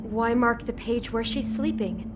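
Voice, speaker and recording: An actress playing an anxious, sharp-tongued young female front desk worker in a video game, speaking bluntly and emotionally. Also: fairly high pitch, measured pace, heavy background noise, natural voice. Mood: uneasy, questioning. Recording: music bed behind voice.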